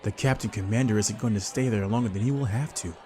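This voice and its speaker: A man's voice: on the low side, quick pace, darkish tone, a little hiss or hum, natural voice. A man playing a laid-back, casually patronising captain, breezy and dismissive, in an anime fan audio drama.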